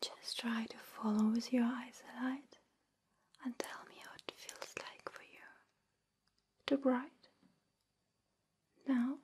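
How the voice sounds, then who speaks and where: Woman in a slow, soothing whisper. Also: on the high side, steady tone. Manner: soft, slow, reassuring, with gentle repetition. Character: kind, caring alien examining a visitor aboard a spaceship. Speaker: ASMR performer. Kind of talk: whispered ASMR roleplay as an examiner.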